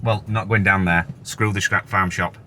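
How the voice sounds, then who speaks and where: A hoarse man vlogging casually from a car, chatty and grumbling, with casual swearing. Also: sits low, fairly even intonation, moderate pace, bright, forceful tone, slight background noise. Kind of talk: casual chat while driving.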